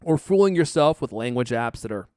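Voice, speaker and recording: A man's voice: mid-range voice, lively intonation, fairly quick, dark tone, no room sound, clean studio sound. A man hosting a podcast, chatting casually and reading ads in a jokey, teasing way with theatrical emphasis. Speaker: podcast host. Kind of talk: casual chat and ad reads.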